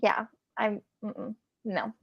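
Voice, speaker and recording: A woman's voice: mid-range voice, steady tone, slow pace, gravelly, husky, lo-fi sound. A woman co-hosting a casual book chat, agreeing along in short, conversational bursts. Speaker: co-host of a book readalong live discussion.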